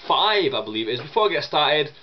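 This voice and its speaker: A man's voice: fairly high pitch, sing-song, fast, highs cut off. A young man with a chesty cold, rambling casually as he answers viewer questions in a vlog. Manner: chatty and rambling, many fillers and restarts. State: chesty with a cold, coughing and sniffling.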